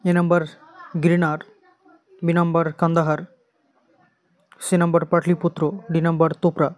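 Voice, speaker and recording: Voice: mid-range voice, monotone, moderate pace, dry recording. An exam coaching teacher with an Indian Bengali accent, reading out quiz questions and repeating the answers in an instructional way.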